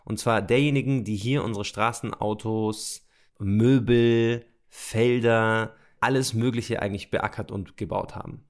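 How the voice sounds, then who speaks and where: A man co-hosting a podcast and working as a journalist, talking in a relaxed, conversational way and then narrating from a script. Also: on the low side, unhurried.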